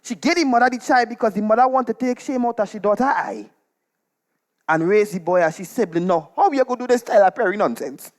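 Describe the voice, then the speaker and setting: A Trinidadian man performs a comic monologue live on stage. His delivery is animated and dramatic, slipping into dialect as he shares scandal like a neighbourhood gossip. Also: high voice, quick pace. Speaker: storytelling performer.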